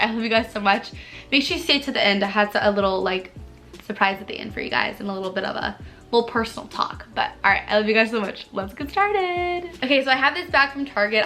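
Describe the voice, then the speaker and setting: A young woman vlogger chatting bubbly and casually through a makeup tutorial, her voice a little hoarse from allergies, with lots of "like" fillers. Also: mid-range voice, sharp tone. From United States. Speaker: beauty vlogger.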